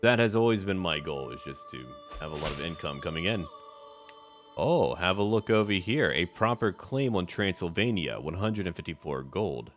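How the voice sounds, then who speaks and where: Deep-voiced man narrating a game, lively intonation. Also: normal speed, faint music behind. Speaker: gamer narrating a strategy game. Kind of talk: roleplay game commentary.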